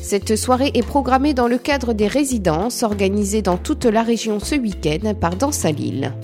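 Mellow-voiced woman radio host. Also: medium pitch, expressive, normal speed, faint music behind. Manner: reads intro smoothly, then asks with fillers. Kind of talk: radio interview.

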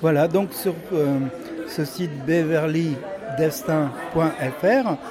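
A man's voice: a little high, slow, crowd noise. An older man interviewing a guest for radio, steering the talk and rephrasing his questions.

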